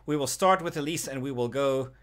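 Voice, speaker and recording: A man's voice: medium pitch, fairly quick, crowd noise. Man moderating a casual gaming panel. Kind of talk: casual gaming talk show.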